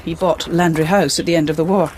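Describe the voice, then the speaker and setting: A woman's voice: low voice, steady tone, fast talker, darkish tone, a little hiss or hum, natural voice. An actress playing a disapproving, loyal senior woman nurse with a British accent, starchy and sceptical, in a period radio drama. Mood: matter-of-fact.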